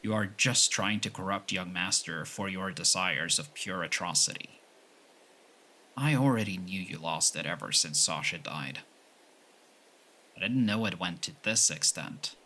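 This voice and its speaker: A man's voice: sits low, measured pace, bright, forceful tone, heavily acted. A man playing a stern, loyal older gangland retainer in an audio drama, firm and reproachful. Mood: stern defiance. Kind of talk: ASMR roleplay audio drama acting.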